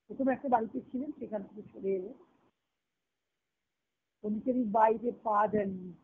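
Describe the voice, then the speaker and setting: A woman's voice: on the high side, normal speed, slightly rough. The voice comes thin and boxed-in over a phone conference line. A woman speaks as a spiritual teacher giving a devotional discourse. She explains in a reflective way, returning to key phrases and repeating them. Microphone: phone conference call. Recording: tinny narrowband conference-call phone line.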